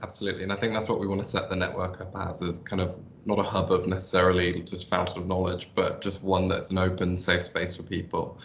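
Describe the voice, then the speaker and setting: A man hosting a casual interview, friendly and softly spoken. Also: deep voice, monotone, fast talker, few pauses. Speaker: network host. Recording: echoey room with faint hum.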